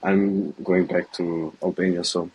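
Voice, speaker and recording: A man's voice: sits low, little pitch movement, a little mellow, breathy edge, low-quality recording. Hesitant young Austrian-accented man. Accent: Austrian German. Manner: hesitant, halting, with many ums. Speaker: guest, student trader. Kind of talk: remote interview answers. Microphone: video call.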